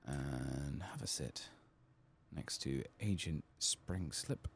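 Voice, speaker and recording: A man giving soft-spoken, sometimes whispered ASMR commentary as he plays a video game, muttering casually to himself. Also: very deep voice, animated, takes it slowly, slight background noise. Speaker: streamer playing a video game.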